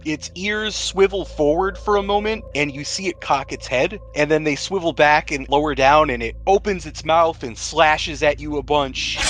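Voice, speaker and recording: A man's voice: on the high side, a bit bright, slight background noise. A man acting as game master, narrating a tabletop role-playing game and putting questions to the players.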